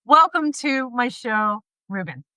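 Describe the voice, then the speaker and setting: Bright high-energy woman host on a video call. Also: unhurried, dry recording. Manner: energetic, laughing often, animated hands. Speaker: podcast host. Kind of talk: casual interview chat. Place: bright room at home, indoors. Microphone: video call, laptop mic.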